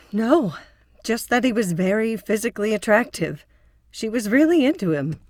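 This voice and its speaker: A woman's voice: fairly high pitch, lively intonation, moderate pace, no echo. A woman playing an earnest, principled psychotherapist with a prim, concerned manner in an audio drama. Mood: guarded.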